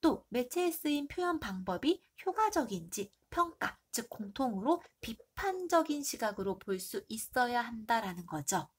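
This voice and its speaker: A woman teacher with a stuffy nose and a hoarse throat, reviewing for an exam and asking the class questions she then answers herself. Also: a little high, big pitch swings, normal speed, few pauses. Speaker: middle school Korean teacher.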